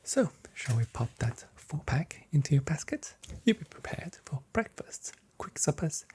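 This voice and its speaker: ASMR shop assistant: hushed, very breathy whisper, mellow tone, gently playful selling. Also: mid-range voice, big pitch swings, unhurried. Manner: gently playful sales patter. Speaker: ASMR performer playing a grocery shop assistant. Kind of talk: whispered, soft-spoken ASMR shop assistant role play.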